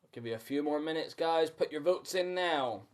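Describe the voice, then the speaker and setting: A man's voice: fairly high pitch, normal speed. Man hosting an interactive storytime. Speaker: storytime host and reader. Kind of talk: live storybook reading with chatty asides.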